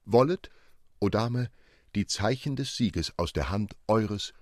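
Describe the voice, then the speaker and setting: A man's voice: on the low side, expressive, slow, no room sound, clean studio sound, pitched above the performer's own voice. A man voicing a gallant, devoted little nutcracker knight with ornate, courtly speech in a storybook reading. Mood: triumphant, devoted. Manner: ceremonious, ornate courtly address.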